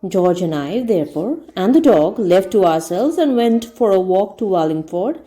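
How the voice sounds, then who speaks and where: Female storyteller, mellow and relaxed, very varied intonation, reading prose. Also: medium pitch, moderate pace. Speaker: storyteller reading a book aloud. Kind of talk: scripted audiobook narration.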